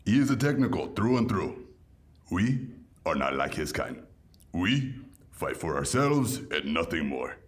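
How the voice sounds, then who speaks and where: Very deep, grandiose villain wrestler. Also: male voice, big pitch swings, unhurried. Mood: proud, brooding. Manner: grandiose, theatrical, gruff. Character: towering, proud veteran villain luchador. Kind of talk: audio drama acting.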